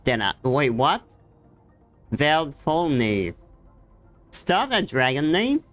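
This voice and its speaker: A man's voice: a little high, very animated, slow, fairly bright tone, faint music behind. A man giving casual reaction commentary over a game, musing aloud in short, puzzled remarks. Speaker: gaming streamer reacting to story.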